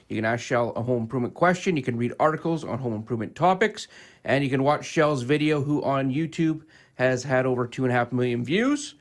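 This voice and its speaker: Guy co-hosting radio, reading out the plugs, middle pitched voice. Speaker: radio co-host and producer at the controls. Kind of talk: clear promotional announcing on live radio.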